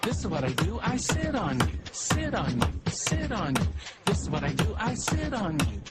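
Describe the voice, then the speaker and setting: Man as a sing-song cartoon squirrel over loud music. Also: sits high, huge pitch swings, normal speed, pitched above the performer's own voice. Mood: gleeful, taunting.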